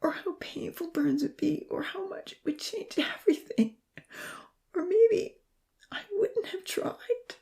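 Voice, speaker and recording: Lady doing a young burned guy crying, breathy whisper, way higher than her own voice, cracks into sobs. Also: expressive, measured pace, warm tone. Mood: anguished whisper. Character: young burned man, anguished and tearful.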